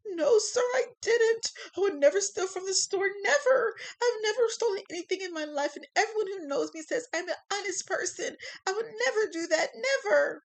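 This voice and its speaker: A woman's voice: a little high, lively intonation, normal speed, pitched above the performer's own voice, natural voice. A woman voicing a nervous young male cashier accused of stealing in a classroom courtroom skit. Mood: tearful. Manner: shaky, tearful protesting.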